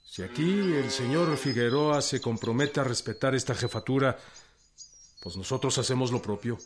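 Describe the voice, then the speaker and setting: Guy acting mexican revolutionary leader, plain country talk, firm and guarded, middle pitched, radio play. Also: normal speed, over music, close to an everyday voice.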